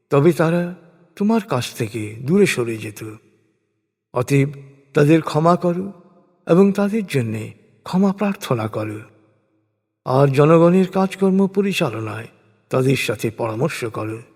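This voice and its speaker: A man reads translated scripture as a solemn scripted narration, in measured, deliberate phrases with pauses between them. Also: on the high side, a little mellow. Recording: long reverberant hall echo.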